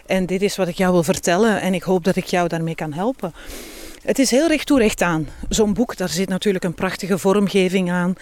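A woman's voice: fairly low pitch, fairly quick, darkish tone. A woman with a Flemish accent chatting candidly and expressively as an interview guest. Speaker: guest, author and healthy-lifestyle speaker. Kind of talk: casual interview chat.

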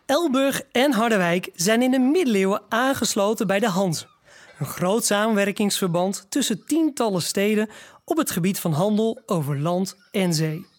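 A woman narrates an audio drama. Her voice is of medium pitch and the delivery is scripted. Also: expressive, normal speed.

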